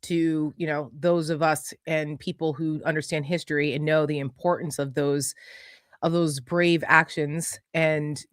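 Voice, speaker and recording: A woman's voice: low voice, flat delivery, unhurried, no echo, studio quality. A woman co-hosting a political talk, reading messages aloud and adding conversational commentary.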